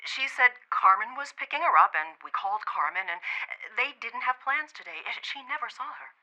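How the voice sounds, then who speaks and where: A woman's voice: a little high, lively intonation, pushed and bright. An actress playing a frantic middle-aged American mother in an audio drama, stammering and pleading over an emergency phone call. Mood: anxious. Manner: halting, stammering, desperate pleading. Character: frantic, pleading mother of a missing teenage daughter. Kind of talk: immersive audio fiction drama acting.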